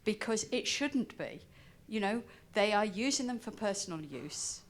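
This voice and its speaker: Woman from Wales, conference audience member, mid-pitched, argues her point emphatically. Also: expressive, a little slow. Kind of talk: opinionated discussion remarks from the audience. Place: conference breakout room, speaking from the audience.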